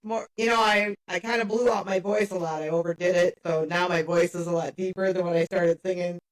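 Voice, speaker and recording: A woman in her fifties with a gravelly voice, chatting as a podcast guest and laughing often. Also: fairly low pitch, fairly quick, a crowd in the background, low-quality recording. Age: 50s. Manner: laughs often, lively storytelling. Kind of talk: casual chat. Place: a workshop.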